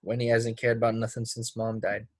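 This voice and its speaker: A man's voice: medium pitch, completely flat delivery, fairly quick, no room sound, clean studio sound, natural voice. A man acting a troubled, defensive teenage boy in a play readthrough, guarded, with folksy ungrammatical phrasing. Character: troubled, defensive teenage boy, small-town drama student. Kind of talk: play readthrough, dramatic dialogue.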